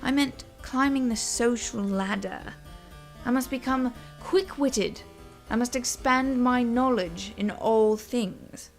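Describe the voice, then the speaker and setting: A woman voicing a spoiled, excitable young princess in an audio drama, with a demanding, impulsive manner. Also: on the high side, slow pace, soft background music, pitched above the performer's own voice. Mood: brisk, determined. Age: young adult.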